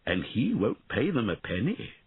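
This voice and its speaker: A man voicing a gentle, sad, thoughtful dragon in a storybook, speaking quietly and in a measured way. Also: lowish voice, wildly swooping pitch, breathy edge, no echo, narrow, tinny audio, voice pushed up, full character voice. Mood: reproachful.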